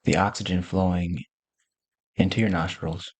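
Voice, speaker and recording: Man, meditation teacher, breathy husky tone, gentle spaced instructions, very dry recording. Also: low-pitched, fairly even intonation, normal speed, dry recording. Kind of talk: slow guided meditation.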